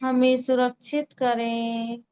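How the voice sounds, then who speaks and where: A woman guides a meditation in a fairly high, very smooth voice. She speaks extremely slowly and calmly, leaving long pauses between soothing instructions. The recording is a little dull.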